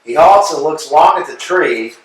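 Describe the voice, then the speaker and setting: A man reads stage directions aloud, brisk and matter-of-fact. His voice sits a little high. The room echoes heavily and the mic is far away. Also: animated. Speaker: narrator reading stage directions.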